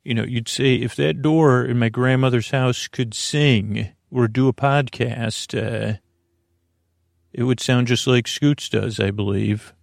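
A man hosting a bedtime podcast, talking in a slow, meandering way full of fillers, tangents and restarts, with a creaky voice. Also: mid-range voice, fairly even intonation, no room sound, clean studio sound. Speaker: podcast host. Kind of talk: rambling bedtime podcast monologue.

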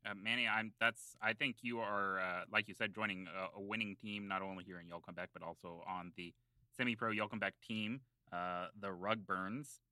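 A man co-hosting a comedy podcast in improvised, in-character banter, speaking in a halting, rambling, mock-earnest way. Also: fairly low pitch, steady tone, sharp tone, dry recording. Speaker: podcast co-host.

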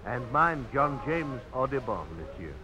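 A man playing an impoverished, excitable French painter in a radio drama, with a French accent and theatrical, lamenting delivery. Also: mid-range voice, a little slow. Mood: genial, wry. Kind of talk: 1950s radio drama acting. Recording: noisy old radio broadcast recording.

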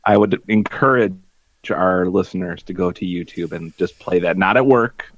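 A man's voice: deep voice, normal speed, slight background noise. A man co-hosting a podcast, chatting about sports and often laughing. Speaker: podcast co-host. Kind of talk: casual sports chat. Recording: dull, dry lo-fi sound.

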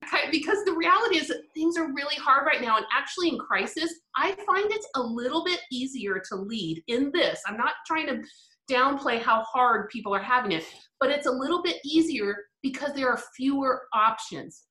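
Animated woman in an echoey room. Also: sits high, moderate pace. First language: English. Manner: animated, laughs often, reflective. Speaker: guest. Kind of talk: interview conversation.

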